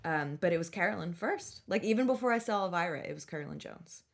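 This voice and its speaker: A woman's voice: fairly low pitch, expressive, quick pace. A woman co-hosting a podcast, chatting casually in a wry, gushing and emphatic way with plenty of slang. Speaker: podcast co-host and comedy performer. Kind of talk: casual podcast chat about horror films.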